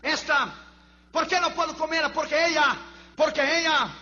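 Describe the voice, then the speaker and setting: Man doing a greedy grave-robbing satanist guy, high pitched and stubborn, lo-fi sound w faint hum. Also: fairly even intonation, normal speed, sharp tone, big-room sound, heavily acted. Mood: pleading. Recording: dull lo-fi recording with faint hum.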